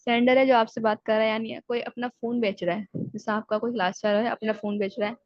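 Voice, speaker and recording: A woman giving a recorded exam-prep lecture, who reads English definitions slowly and then explains them in Urdu. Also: fairly high pitch, no room sound. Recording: dull lo-fi sound.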